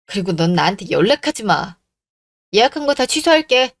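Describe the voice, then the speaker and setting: A woman's voice: medium pitch, very animated, fairly quick, dry recording, clean studio sound. A woman playing an indignant, firm young woman in a radio drama, scolding bluntly. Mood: angry, firm. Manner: blunt, scolding, rhetorical questions.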